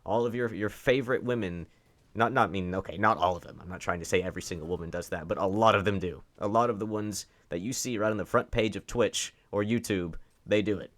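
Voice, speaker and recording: A man's voice: lowish voice, fast, fairly bright tone. A man giving opinionated, off-the-cuff political commentary, emphatic and sardonic, stressing words for effect and posing rhetorical questions. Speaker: online commentator.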